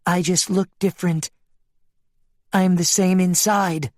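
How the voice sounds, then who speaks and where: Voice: mid-range voice, steady tone, slow pace, dry recording, clean studio sound, voice pushed up, close to an everyday voice. A narrator voicing an earnest, pleading female sheep with a woman's mind in an audiobook story.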